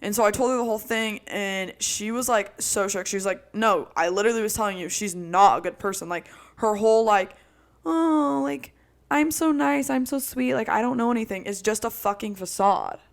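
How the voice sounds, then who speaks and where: A young woman co-hosting a podcast, telling a roommate story in casual chat with a loud, projecting voice and lots of "like". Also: medium pitch, moderate pace, vocal fry. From Italian roots. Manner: animated storytelling with frequent "like". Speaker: podcast co-host.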